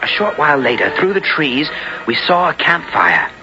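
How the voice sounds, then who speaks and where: An earnest, lovelorn young squire with a high, lively voice tells his adventure and lives it. His speech is formal and old-fashioned. The muffled radio-drama recording has music under it. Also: normal speed. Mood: downcast, determined. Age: young adult. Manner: formal, archaic, earnest storytelling and dialogue. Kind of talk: 1970s radio drama acting and narration.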